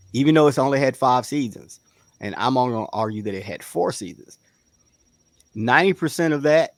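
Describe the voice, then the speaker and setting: A man's voice: mid-range voice, no room sound. A man co-hosting a casual debate, arguing bluntly and insistently and repeating himself for emphasis. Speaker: panel show co-host.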